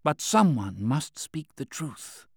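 A man's voice: mid-range voice, sing-song, slow pace, vocal fry, breathy edge, no room sound, studio quality, full character voice. A man voicing a fearful older Chinese minister who pleads urgently with a colleague in an audiobook. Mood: resigned.

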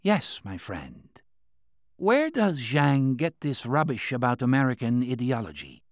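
A man voicing a cautious middle-aged Chinese minister who speaks in a slow, measured, guarded way. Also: medium pitch, huge pitch swings, no room sound, clean studio sound.

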